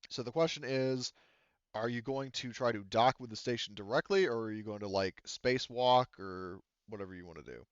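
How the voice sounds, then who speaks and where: A man improvising narration as game master in a conversational way, halting as he searches for words and casually profane. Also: mid-range voice, clear, resonant tone, dry recording, highs cut off. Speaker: game master of a tabletop roleplaying game.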